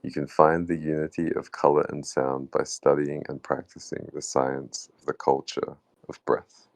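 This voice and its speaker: Very deep, creaky male reader. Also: little pitch movement, normal speed, dry recording. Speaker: participant reading in a book club. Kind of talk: read-aloud of a spiritual text.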